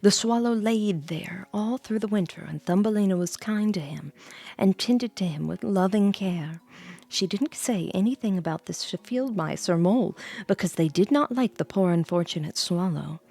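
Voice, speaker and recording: A woman's voice: medium pitch, expressive, normal speed, gravelly, warm tone. A woman streamer reading a bedtime story aloud, dropping in chatty asides of her own.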